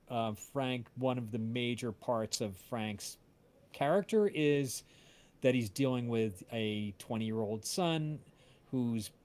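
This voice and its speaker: A man's voice: medium pitch, a little mellow, clear, resonant tone. A man hosting a casual livestream, talking in a rambling, hesitant way. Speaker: audio drama creator hosting livestream.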